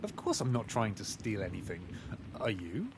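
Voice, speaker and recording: A man's voice: fairly low pitch, wildly swooping pitch, moderate pace. A man voicing an inquisitive, principled pig detective in a video game, often musing to himself. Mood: questioning. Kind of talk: acted game dialogue. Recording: very noisy game capture.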